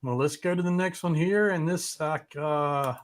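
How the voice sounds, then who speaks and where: Male host, casual and easygoing, a little higher-voiced than average, lightly teasing in conversation. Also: normal speed. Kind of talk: casual chat.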